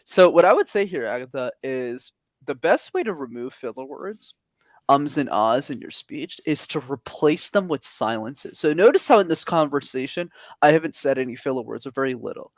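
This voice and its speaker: A man's voice: normal speed, dry recording. A man coaching on public speaking during a webinar, talking energetically and with emphasis over a video call. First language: not English. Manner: energetic, emphatic, lively pitch swings. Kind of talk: webinar advice talk.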